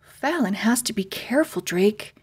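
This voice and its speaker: A woman voicing a calm, confident girl guide from a fairy world, friendly and reassuring, in a children's storybook. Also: on the high side, normal speed, breathy edge.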